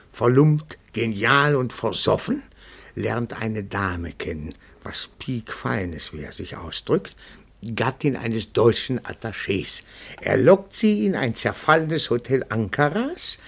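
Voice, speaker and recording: An actor playing a timid yet nosy, pedantic petit-bourgeois detective in a radio play, speaking in a meticulous, florid, deferential way. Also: male voice, mid-range voice, creaky, slightly rough, full character voice. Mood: excited, theatrical. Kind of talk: German radio play dialogue.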